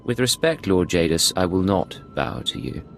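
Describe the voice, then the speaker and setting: A self-possessed imperial spy in a sci-fi game. His low voice moves with lively intonation, dry and clipped, quietly defiant. Music plays clearly behind him. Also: moderate pace. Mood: guarded defiance. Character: composed, defiant male imperial secret agent. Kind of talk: dramatic video game acting.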